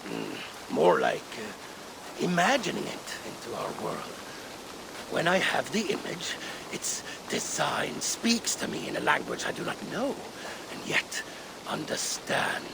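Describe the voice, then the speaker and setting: Gravelly, mysterious Norse craftsman. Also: mid-range voice, huge pitch swings, takes it slowly, background noise, full character voice. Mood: musing. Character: mysterious older Norse craftsman, soft-spoken, wry visionary. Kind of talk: video game cutscene acting.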